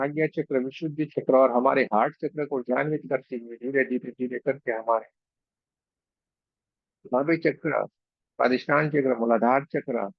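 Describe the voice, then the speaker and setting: A man leading a guided meditation as a reiki guide, speaking slowly and soothingly with pauses and repeated phrases. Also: mid-range voice, creaky, dry recording, lo-fi sound. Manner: slow, soothing, repetitive instruction with frequent pauses.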